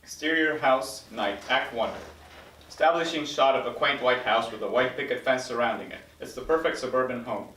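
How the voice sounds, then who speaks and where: A man's voice: mid-range voice, moderate pace, sharp tone, slight background noise. Man narrating in an echoey room. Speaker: narrator reading stage directions. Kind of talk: scripted narration at a table read. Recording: roomy, distant mic.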